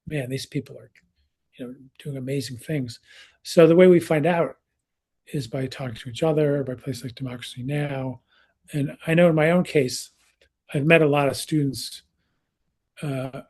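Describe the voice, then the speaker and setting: Husky-voiced man in his sixties. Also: medium pitch, darkish tone. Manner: conversational, many restarts and fillers. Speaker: researcher and speaker. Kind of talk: panel talk.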